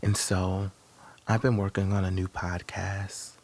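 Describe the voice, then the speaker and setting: A man hosting a podcast, giving a casual introduction in short, halting phrases. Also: low-pitched, level tone, darkish tone. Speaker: podcast host.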